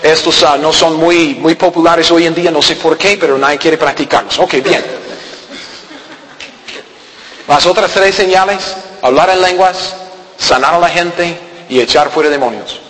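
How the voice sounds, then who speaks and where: High-voiced preacher in an echoey hall. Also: male voice, measured pace, fairly bright tone. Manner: earnest, questioning, with many restarts and fillers. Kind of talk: sermon. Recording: echoey hall with long reverb.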